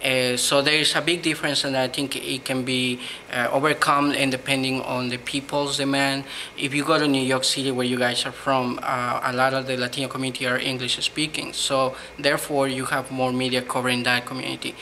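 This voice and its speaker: A bright-voiced man with a Peruvian Spanish accent, speaking English as his second language. He answers in an earnest, measured way in a flat, steady tone, pausing on ums and uhs. Faint hiss sits behind him. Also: medium pitch, poor audio. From Peru. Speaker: blogger, interview guest. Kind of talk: interview answers.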